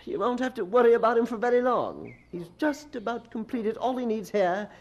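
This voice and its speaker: An actor playing an approving, good-humoured schoolmaster in a radio drama, speaking in a formal, measured way. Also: male voice, very high-pitched, sing-song, exaggerated voice. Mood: amused.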